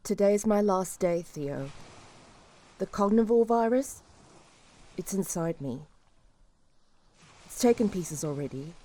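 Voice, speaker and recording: A woman playing a despairing, resigned helpline caller in an audio drama, halting and wistful. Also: mid-range voice, expressive, mellow. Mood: resigned, sorrowful. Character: despairing, resigned infected woman saying farewell. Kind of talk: audio drama phone-call acting.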